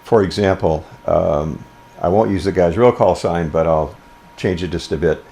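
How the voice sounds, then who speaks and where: An older man giving an informal instructional talk about a hobby, speaking plainly and with deliberate phrasing. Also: low-pitched, gravelly, mellow. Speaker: amateur radio presenter.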